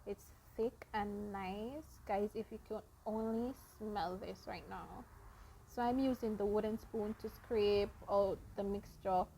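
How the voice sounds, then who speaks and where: A woman hosting a casual cooking vlog, explaining each baking step to her viewers in an unhurried way. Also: mid-range voice, fairly even intonation, warm tone, slight background noise, poor audio. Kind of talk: casual baking demonstration narration.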